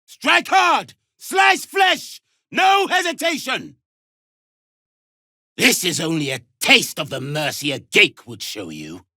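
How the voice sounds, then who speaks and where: An actor playing a harsh, imperious alien warrior instructor in a video game, scornful and given to clipped commands. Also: male voice, high voice, very animated, slow pace, pushed and bright, no echo, raised voice, clean studio sound, heavily acted. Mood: barking commands. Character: harsh, contemptuous alien warrior instructor drilling young recruits. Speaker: combat trainer. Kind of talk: video game character acting.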